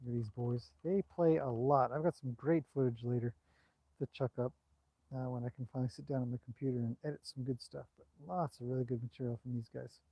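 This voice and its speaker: A man's voice: mid-range voice, fairly even intonation, fast talker, dark tone, breathy edge, no room sound, rough recording. A man hosting a casual outdoor livestream, chatting loosely about his horses and answering viewer comments, recorded on a cell phone. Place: outdoors by a horse paddock on a bright day.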